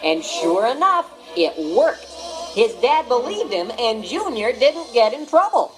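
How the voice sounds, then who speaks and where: A woman's voice: fairly high pitch, very animated, measured pace, rough recording. A woman giving scripted read-along narration, telling the story expressively and voicing every character. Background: background music.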